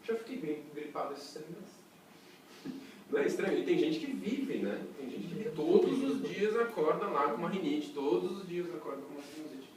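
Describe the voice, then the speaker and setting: A man with a Brazilian Portuguese accent teaching a breathing workshop in a chatty, lively way, throwing rhetorical questions to a small group. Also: a little high, normal speed, slight background noise. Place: workshop room with a small audience. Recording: echoey room, voice from a distance.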